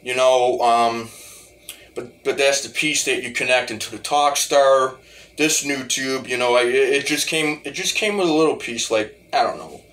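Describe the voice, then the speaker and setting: A man's voice: medium pitch, moderate pace, a bit bright, rough recording. A man giving a casual, rambling demo as a hobby musician, often restarting his phrases. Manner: rambling, repeats starts of phrases. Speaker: hobbyist talk box musician.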